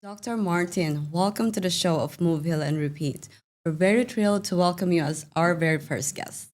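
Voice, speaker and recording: A woman's voice: on the low side, moderate pace, darkish tone. A woman hosting a podcast interview, putting prepared questions to her guest. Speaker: podcast host.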